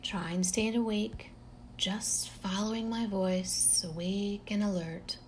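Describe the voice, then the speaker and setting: A woman leading a guided relaxation meditation in a calm, gentle, unhurried manner, leaving long pauses between phrases. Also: medium pitch, slight background noise.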